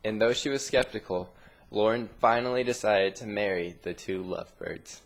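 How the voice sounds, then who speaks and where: A man reading a scripted story narration with dramatic pauses, in a noisy amateur recording. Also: on the low side, creaky.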